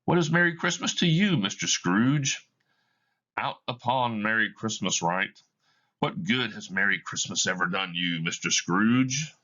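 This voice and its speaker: A man reading aloud as a gentle but insistent male spirit of the past, asking pointed questions. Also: fairly low pitch, lively intonation, measured pace, highs cut off, full character voice. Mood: challenging.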